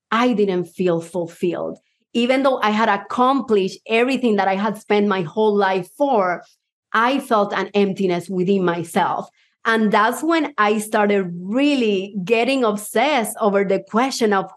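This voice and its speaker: A woman from Cuba with a Puerto Rican accent, Spanish her first language, giving an enthusiastic motivational talk to a live audience as a mindset coach. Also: medium pitch. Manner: enthusiastic, personal storytelling.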